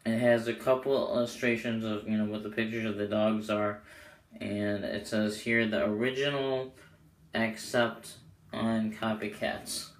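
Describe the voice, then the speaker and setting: Young male reviewer, low-pitched and hoarse, casual rambling delivery, echoey small-room camera audio. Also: flat delivery, measured pace. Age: young adult. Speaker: host of playing card deck reviews. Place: small bedroom, indoors. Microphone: camera mic a short way off. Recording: roomy echo, clear background noise.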